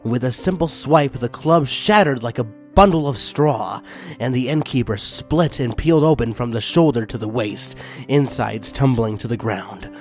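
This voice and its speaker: A man's voice: mid-range voice, normal speed. Man reading a story over faint music. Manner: excitable and crude in the intro, then steady reading. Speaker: channel host and reader. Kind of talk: reading fanfiction aloud.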